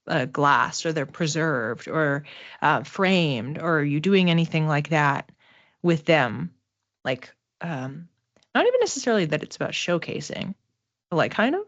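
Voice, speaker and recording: Casting: woman, low register, psychic medium doing an off-the-cuff reading, hesitant delivery, slightly dull roomy recording. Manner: tentative, halting, searching for words. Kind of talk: intuitive reading, spoken off the cuff to a live chat.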